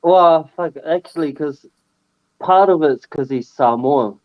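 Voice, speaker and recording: A man's voice: fairly high pitch, measured pace, no room sound. Laid-back New Zealand guy on lo-fi audio. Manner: relaxed, says "bro" and "mate". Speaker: guest fan. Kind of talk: casual podcast chat.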